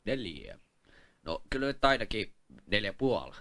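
Man dubbing a halting, stubborn pawnshop seller. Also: lowish voice, big pitch swings, a bit bright. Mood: hesitant haggling. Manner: halting, terse. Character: hesitant but stubborn male seller in a pawnshop. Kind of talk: comic amateur dub of a reality show.

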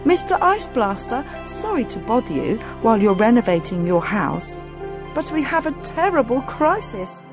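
A woman's voice: fairly high pitch, very animated, moderate pace, music in the background, pitched above the performer's own voice. A woman voicing Santa's determined, distressed wife in an earnest, pleading, emphatic storybook manner.

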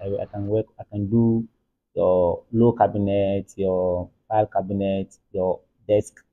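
Low, husky Ghanaian man, phone-like audio. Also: level tone, measured pace. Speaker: carpenter, interview guest. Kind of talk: casual interview answers. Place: furnished room, seated in armchairs. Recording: thin, muffled phone-like sound.

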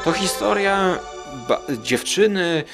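A man's voice: high voice, animated, slow, loud music behind the voice. Man musing aloud as a podcast host. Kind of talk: casual rambling podcast review.

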